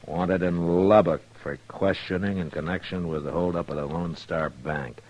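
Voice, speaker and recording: Deep-voiced, weary frontier marshal. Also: male voice, steady tone, a little mellow. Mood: businesslike. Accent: American. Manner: terse, measured, gruffly courteous. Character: weary, steady frontier lawman. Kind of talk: old-time radio drama acting. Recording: noisy old radio recording.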